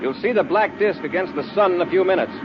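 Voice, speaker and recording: A man playing a brisk, commanding space patrol commander in an old radio adventure serial, giving clipped, authoritative orders. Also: high voice, close to an everyday voice. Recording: dull, noisy old radio recording.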